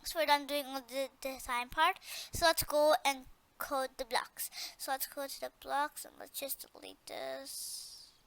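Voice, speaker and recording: A woman presenting an informal step-by-step software tutorial, hesitant and often repeating her instructions as she goes. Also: high-pitched, fairly even intonation, a little slow.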